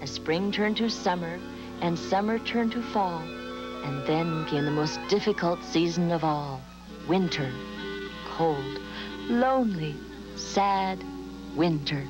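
A woman's voice: fairly low pitch, slow pace, music in the background, rough recording. A woman storyteller reading scripted, dramatic narration for a children's fairy tale.

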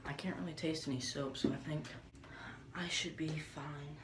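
A teenage boy talking casually and mumbling low-key asides while he films a prank on a phone at home. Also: steady tone, normal speed. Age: teens. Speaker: prank vlogger. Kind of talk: casual vlog talk. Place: small bathroom and home kitchen. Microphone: phone camera. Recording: echoey room, noisy phone audio.

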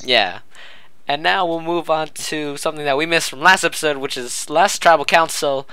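A man's voice: on the high side, moderate pace, bright, forceful tone, clear, resonant tone, no echo. A man co-hosting a casual podcast, talkative and emphatic, drawing out his show intro. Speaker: podcast co-host.

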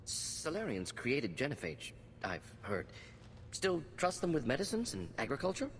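A man playing a skeptical, quick-witted alien scientist in a video game, speaking in terse, clipped phrases. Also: medium pitch, wildly swooping pitch, long reverb tail, slight background noise. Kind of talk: video game character dialogue.

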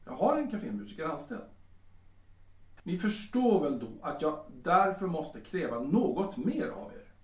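A man's voice: fairly high pitch, very animated. A man acting a smug, lecherous café owner in a Swedish audio drama, oily and hesitant, often repeating himself. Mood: insinuating. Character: smug, lecherous male Viennese café owner, haggling and menacing. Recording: roomy, distant from the mic.

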